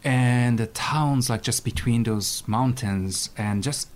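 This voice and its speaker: A Japanese musician speaking English as a guest, hesitant and pausing often with ums as he searches for words. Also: medium pitch. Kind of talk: casual interview.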